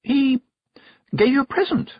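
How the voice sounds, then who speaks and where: A man's voice: very high voice, lively intonation, unhurried, dry recording, highs cut off, voice pushed up, full character voice. A man voicing a prim, nosy woman witch with an arch, sugary manner in a storybook. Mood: nosy, bright. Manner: sticky-sweet, arch. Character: prim, nosy adult female witch, unembarrassed.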